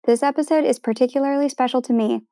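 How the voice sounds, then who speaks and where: Upbeat woman podcast host, brighter pitch. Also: steady tone, quick pace, no room sound, studio quality. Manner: upbeat and friendly, reads ad copy smoothly. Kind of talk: podcast intro and interview.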